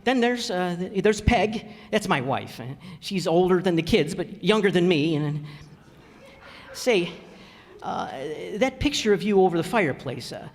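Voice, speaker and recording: An actor playing a plain-spoken, good-hearted middle-aged family man in an old-time radio show, talking in a halting, folksy, ungrammatical way. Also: sits high, very animated, heavily acted. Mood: friendly, chatty. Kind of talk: old-time radio comedy-drama acting. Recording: reverberant hall stage recording.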